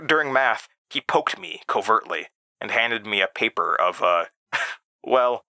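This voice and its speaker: A man's voice: on the low side, lively intonation, unhurried, pushed and bright, no echo, exaggerated voice. An actor playing an uneasy man who recounts childhood memories in a confiding, hesitant audio drama monologue. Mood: amused.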